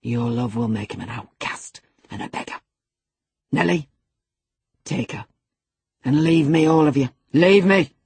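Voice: mid-range voice, steady tone, moderate pace, breathy edge, no room sound. A narrator voicing a savage, brooding middle-aged master of a house, harsh, imperious and threatening, in an audiobook. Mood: cold menace.

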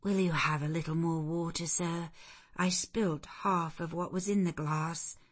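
A reader plays a devoted young woman from a classic novel. She is steadfast and tender, speaking slowly and earnestly to comfort the one she loves. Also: low-pitched, fairly even intonation. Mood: composed.

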